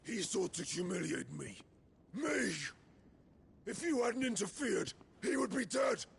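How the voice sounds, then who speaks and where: Vengeful wounded game warlord: high, strained gravelly roar, seething and explosive. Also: male voice, very animated, measured pace, a little hiss or hum, full character voice. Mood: seething rage. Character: enraged, vengeful, wounded warlord roaring threats. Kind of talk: dramatic video game acting.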